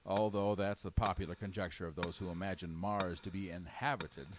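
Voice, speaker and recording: An actor playing a calm, learned astronomy professor in a 1930s-style radio drama, answering in a measured, scholarly, slightly hesitant way. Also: male voice, deep voice, lightly acted. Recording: old radio recording with clear noise.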